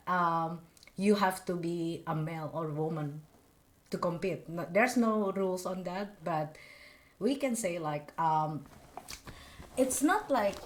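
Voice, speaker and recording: Young Indonesian woman host, lowish voice, loose and chatty with frequent laughs. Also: low-quality recording. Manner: chatty, laughing often, many fillers like "you know". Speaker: podcast host. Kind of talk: casual interview chat.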